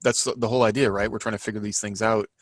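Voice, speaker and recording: A man's voice: fairly low pitch, steady tone, very fast, no echo, studio quality. A man talking casually as a podcast panelist, thinking aloud in a reflective, tentative way and rephrasing as he goes. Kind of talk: casual group discussion.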